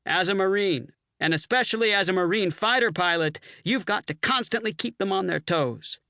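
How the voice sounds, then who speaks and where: A man voicing a brash, boastful, hard-drinking Marine colonel with a loud, swaggering delivery. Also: sits high, normal speed, creaky, dry recording, clean studio sound, voice pushed up, exaggerated voice. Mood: lecturing.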